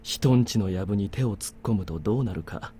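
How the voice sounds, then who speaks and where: An actor playing a cool, commanding officer in a Japanese visual novel, curt, composed and dryly sardonic. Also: male voice, lowish voice, very fast, a little hiss or hum, lightly acted. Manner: curt, composed, quietly menacing. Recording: game music under the voice.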